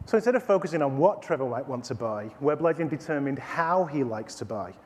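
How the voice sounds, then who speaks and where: Lively Texan man pitching onstage. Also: brisk. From Texas, USA. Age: 40s. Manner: animated, showman-like pitch with playful asides. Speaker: company CEO presenting a product. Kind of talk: staged product demo presentation. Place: conference stage in a large hall. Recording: reverberant conference hall.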